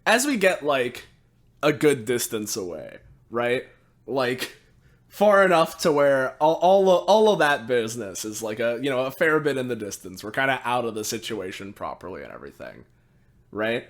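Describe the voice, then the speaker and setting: Guy player in a tabletop rpg, animated pitch, casually describing his moves, keeps checking in. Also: normal speed. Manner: animated, repeatedly checks with "right". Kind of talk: casual improvised game narration.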